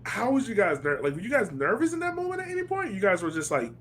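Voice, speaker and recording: A man's voice: high-pitched, sing-song, fast, fairly bright tone, slight background noise. A man hosting a casual sports podcast chat, putting questions to his guests in informal speech. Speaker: podcast host.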